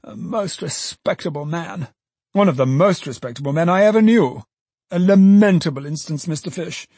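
Male reader as self-important storybook alderman: raised pitch, exclamatory, piously lamenting, dry close recording. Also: moderate pace, a little mellow, slightly rough, dry recording. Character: pompous, sanctimonious alderman, excitable and self-important man. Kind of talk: dramatized audiobook character voice.

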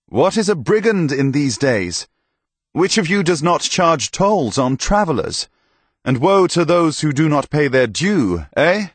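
Man voicing a hearty medieval knight, booming, lively swooping voice, raised higher, dry close sound. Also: a little slow, no room sound. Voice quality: booming, laughing barks. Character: boisterous hearty older male knight, jovial and commanding. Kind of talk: audiobook character voice.

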